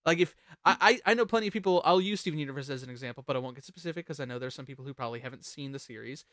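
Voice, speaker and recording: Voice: medium pitch, rapid-fire, dry recording, clean studio sound. A host chatting casually while ranking songs on a panel show, jokey, profane and talkative.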